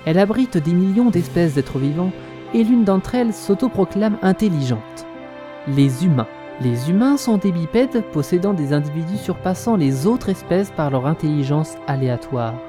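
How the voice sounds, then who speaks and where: A man's voice: sits high, expressive, moderate pace, music in the background. A man giving scripted mock-documentary narration. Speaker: narrator and author.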